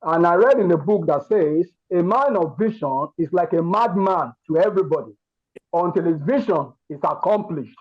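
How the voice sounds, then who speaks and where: A Nigerian man with a Yoruba accent and a notably high-pitched voice. He speaks earnestly, as if giving a testimony to a group, stressing points by repeating words. The sound is dull and lo-fi, like an online call. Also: animated, moderate pace. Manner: emphatic, repeats words and phrases. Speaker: participant in an online masterclass giving feedback.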